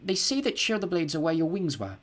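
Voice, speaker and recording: A man's voice: big pitch swings, fairly quick. A man voicing a tender worried mother. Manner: shrill when upset, soft when tender. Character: anxious, tender mother of a sick baby.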